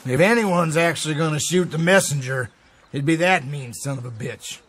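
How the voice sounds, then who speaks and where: An actor playing a dry, sardonic, folksy American backwoods outlaw in a western video game. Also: male voice, on the high side, normal speed, fairly bright tone, husky. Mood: sarcastic. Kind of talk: video game cutscene acting.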